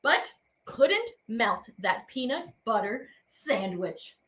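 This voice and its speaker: A high-voiced woman reads children's verse aloud as a teaching artist. Her delivery is theatrical and playful, swooping widely in pitch. She takes it slowly, stretching out words for effect. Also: big pitch swings, a bit bright. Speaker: teaching artist and program host. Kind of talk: expressive read-aloud of children's poems.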